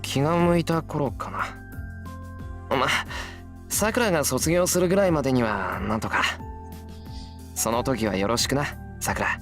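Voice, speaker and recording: A man's voice: mid-range voice, brisk, long pauses, lightly acted. A man voicing an easygoing, sincere teenage schoolboy in a visual novel, talking in a casual, plain-spoken way. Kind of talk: Japanese visual novel voice acting. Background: background music.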